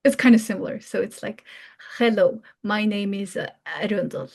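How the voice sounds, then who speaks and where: A woman's voice: mid-range voice, normal speed, darkish tone. A young woman from Mongolia speaking casually as a guest, in informal English peppered with "like". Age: young adult. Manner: informal, frequent "like". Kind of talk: casual question-and-answer talk in English.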